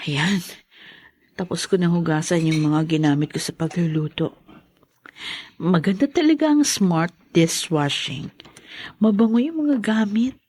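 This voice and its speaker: A woman's voice: lowish voice, darkish tone, slightly rough, no echo. An actress in a Tagalog radio drama playing a meek, long-suffering young mother with an earnest, hesitant manner. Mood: calm.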